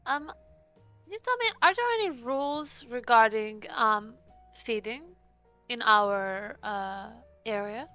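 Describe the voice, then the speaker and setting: A woman's voice: on the high side, animated, takes it slowly, pushed and bright, faint music behind. A woman doing casual in-character role-play at a tabletop game, tentative and polite.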